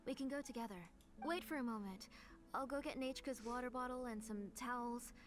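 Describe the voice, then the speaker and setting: Woman acting a high-voiced fretful mother. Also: brisk, lightly acted. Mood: cautious. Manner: fretful, scolding gently. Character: fussing, overprotective, caring middle-aged mother. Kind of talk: anime game voice acting. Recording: music clearly behind the voice.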